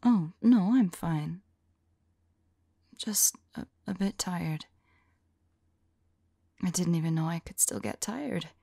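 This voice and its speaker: A woman playing a weary, devoted vampire lover in an ASMR audio roleplay, speaking softly and haltingly in a breathy, whispery voice. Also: on the low side, no room sound, studio quality, full character voice. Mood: tender, reassuring. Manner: gentle, halting, hushed delivery. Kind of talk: ASMR audio roleplay, soft intimate acting.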